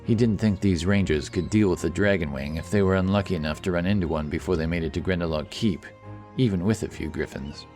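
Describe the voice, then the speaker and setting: A man narrating a scripted fantasy audiobook with a measured, dramatic storytelling manner. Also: low-pitched, darkish tone. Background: music.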